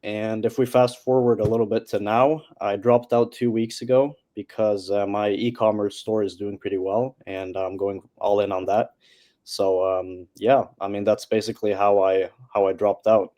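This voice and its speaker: Teen guy from Norway, low mellow voice, hesitant guest. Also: little pitch movement, normal speed. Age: teens. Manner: hesitant, many fillers. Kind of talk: casual interview chat.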